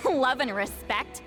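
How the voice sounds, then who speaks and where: A woman's voice: high-pitched, fairly bright tone, exaggerated voice. A woman playing a proud, brusque, easily flustered ancient shaman who sounds youthful, in an anime fantasy game. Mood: flustered, defensive. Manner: brusque, haughty. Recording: faint music under the voice.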